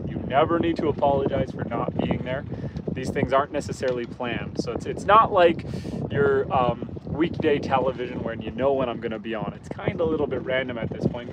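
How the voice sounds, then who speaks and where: A hoarse man, worn from roughhousing with his kids, chats easygoing and friendly with stream viewers while cruising a lake. His voice sits mid-range and a little scratchy. Wind and the hum of a boat motor come through clearly behind him. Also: medium pitch. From Canada. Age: adult, father of young kids. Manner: easygoing, chatty, greets viewers by name. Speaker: outdoors channel host. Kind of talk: casual livestream chat while fishing. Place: outdoors on a boat on a rainy lake. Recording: windy outdoor stream with boat noise.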